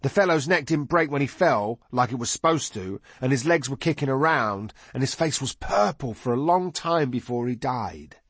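A man's voice: medium pitch, expressive, normal speed, few pauses, fairly bright tone, husky, no echo, pitched above the performer's own voice. A man voicing eager, squabbling young boys with childlike, unschooled speech in an audiobook story. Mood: proud, eager. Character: eager, squabbling young boys, twin brothers, bloodthirsty and righteous.

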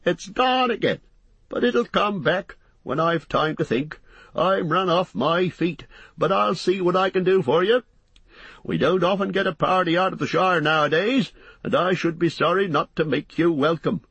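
A man's voice: high-pitched, normal speed, fairly bright tone, slightly rough, no room sound, voice pushed up, exaggerated voice. A man voicing a fat, harried, talkative innkeeper in an audiobook, chattering breathlessly in rustic, folksy phrases. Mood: flustered, chatty. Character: fat, bustling, harried, talkative middle-aged male innkeeper. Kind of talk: audiobook character voice.

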